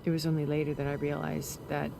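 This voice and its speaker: An actress playing a composed, secretive, cultured woman in a video game, speaking in a measured, articulate, deliberate way. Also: sits low, little pitch movement, vocal fry, noisy background. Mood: measured, confiding. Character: composed, secretive, cultured older woman, former intelligence broker. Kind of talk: video game cutscene dramatic acting.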